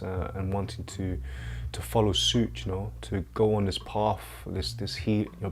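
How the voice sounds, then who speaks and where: A man in his forties, an energy healer answering viewers' questions in a calm, unhurried, reflective way on a lapel mic at home. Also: fairly low pitch. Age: 40s. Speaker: energy healer and massage therapist. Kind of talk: livestream Q&A with viewers. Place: dim lamp-lit living room. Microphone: clip-on lapel mic.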